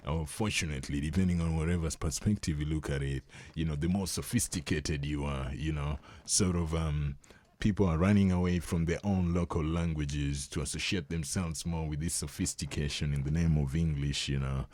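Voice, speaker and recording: A young Kenyan man whose first language is Luo, explaining things in a relaxed, conversational way during an interview and chuckling often. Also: a bass voice, normal speed, no room sound. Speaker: guest.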